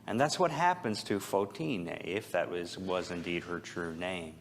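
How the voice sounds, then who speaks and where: A man's voice: low voice, unhurried, vocal fry, slight background noise. A man preaching an informal, conversational sermon as a pastor, with a joking, self-deprecating manner and rhetorical questions. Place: church service, speaking before a congregation.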